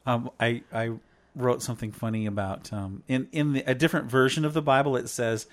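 Man, co-host, mid pitch, playful, rambling, teacherly. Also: steady tone, brisk. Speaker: co-host, devotional talk. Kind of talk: casual faith chat with his wife.

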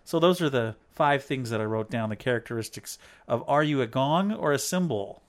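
A man's voice: mid-range voice, lively intonation, normal speed. A man chatting with his wife on a faith talk show, playful and rambling as he counts off points like a teacher. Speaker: co-host, devotional talk.

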